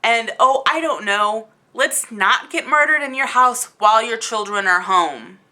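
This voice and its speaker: A woman's voice: on the high side, expressive, a little slow, sharp tone. A woman giving a casual, rambling video review in an exasperated, emphatic and incredulous manner. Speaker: book review vlogger.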